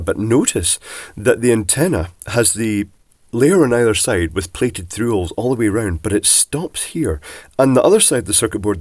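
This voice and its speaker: A man with a cold, sounding bunged up and croaky, casually explaining electronics as he takes a device apart. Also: lowish voice, a little mellow, dry recording. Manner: chatty, rambling asides. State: congested from a cold, husky. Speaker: electronics teardown presenter.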